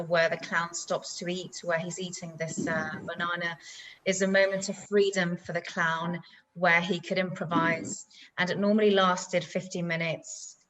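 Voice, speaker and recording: A woman's voice: lowish voice, a bit bright, slight background noise, poor audio. A woman moderating a discussion and interpreting answers for the audience. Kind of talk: relaying answers in a Q&A.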